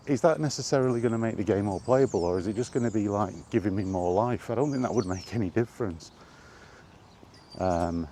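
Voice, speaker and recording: A man chatting casually in a rambling solo monologue, halting and musing, often repeating his phrases, recorded outdoors. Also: fairly low pitch, dark tone, slight background noise. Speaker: host of a gaming chat series. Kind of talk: casual rambling monologue about video games.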